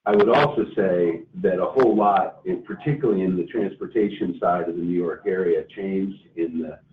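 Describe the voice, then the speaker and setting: A man speaking as a company executive, giving careful, measured and halting corporate answers on an earnings call. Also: sits low. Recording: roomy, distant phone-like line with faint noise.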